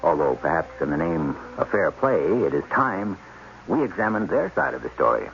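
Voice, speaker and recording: A man hosting a radio drama, narrating in a deliberate, portentous, formal style. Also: low-pitched, big pitch swings, vocal fry, fairly bright tone, a little hiss or hum. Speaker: host and narrator. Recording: dull old radio broadcast.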